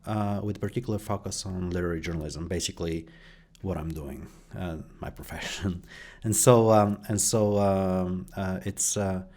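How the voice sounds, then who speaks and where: A middle-aged man from Bulgaria answering interview questions about his work, pausing often with fillers and restarts. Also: sits low, steady tone, a little mellow. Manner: hesitant, many fillers and restarts. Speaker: guest, journalist and professor.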